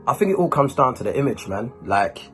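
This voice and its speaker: A man's voice: mid-range voice, very animated, fast talker, fairly bright tone, soft background music. A man from Germany with Ghanaian roots, a YouTuber talking casually and informally, with urban slang, as he answers questions to camera. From Ghanaian, born and raised in Germany. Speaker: YouTuber, host.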